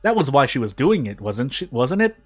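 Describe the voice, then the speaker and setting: A man reads narrative prose aloud in an informal way, at an average male pitch. He sometimes trips on words and goes back over them, with music playing faintly behind him. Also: big pitch swings, brisk, husky. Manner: stumbles over words and rereads phrases. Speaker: fan fiction reader on a gaming video. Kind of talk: informal read-aloud of a story.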